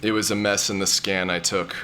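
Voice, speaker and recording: Bright-edged, awkward, melancholy man. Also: on the low side, flat delivery, brisk, a little hiss or hum. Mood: flustered. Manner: hesitant, stammering, awkward. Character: melancholy, awkward, exasperated dead man in digital afterlife. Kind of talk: scripted audio comedy-drama acting.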